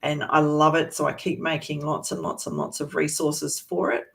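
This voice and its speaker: A teacher from Australia's east coast, presenting a teaching resource in a relaxed, chatty way with many pauses and restarts. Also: medium pitch, vocal fry. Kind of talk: informal presentation to educators.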